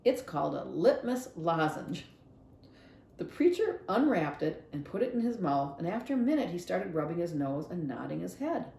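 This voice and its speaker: Mellow, creaky woman reading a story aloud. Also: lowish voice, sing-song, a little fast, few pauses, reverberant. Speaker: library read-along reader. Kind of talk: expressive storybook narration.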